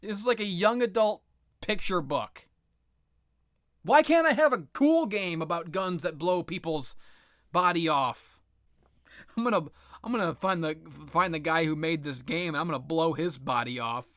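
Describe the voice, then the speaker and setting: A man giving casual, rambling, opinionated video game commentary with sarcastic, profane ranting and a halting, repetitive delivery. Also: fairly high pitch, lively intonation, fairly bright tone, no room sound, studio quality. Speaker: video game reviewer and host.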